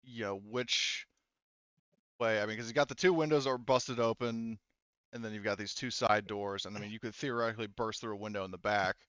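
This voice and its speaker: A man's voice: lowish voice, fairly even intonation, a little fast, fairly bright tone, clean tone, no echo, dull audio. A man acting as game master, talking through a tabletop roleplaying scene informally and thinking aloud. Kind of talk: casual tabletop roleplaying narration and rules talk.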